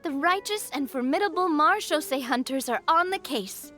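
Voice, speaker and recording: Confident young woman hunter in a game, high voice, playful, light music behind. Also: animated, moderate pace, sharp tone. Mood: confident, thoughtful. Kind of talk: video game voice acting.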